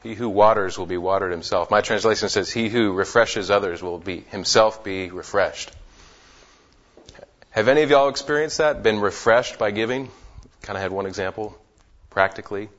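A man's voice: fairly low pitch, moderate pace, fairly bright tone. A man leading a group Bible study in a casual way, often repeating words as he gathers his thoughts. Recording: dull lo-fi sound.

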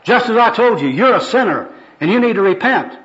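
A pushy young street evangelist, acted out by a man reading a skit, preaches and scolds insistently. The voice is raised high and loud, on a dull, lo-fi recording. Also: lively intonation, a little fast. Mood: insistent, scolding. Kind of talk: amateur skit read aloud.

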